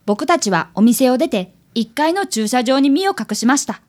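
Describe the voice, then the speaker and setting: A high, animated schoolboy played by a woman in a radio drama. He is an earnest apprentice detective, polite and careful to explain things, with very lively intonation. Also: moderate pace. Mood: earnest, urgent. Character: earnest fifth-grade boy, apprentice detective and narrator. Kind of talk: radio drama narration and dialogue.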